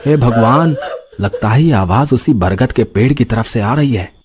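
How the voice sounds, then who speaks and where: A man's voice: mid-range voice, lively intonation, moderate pace, noisy background, natural voice. A man voicing a concerned but bold village husband in an exclamatory, dramatic cartoon style. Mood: alarmed. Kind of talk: Hindi cartoon character acting.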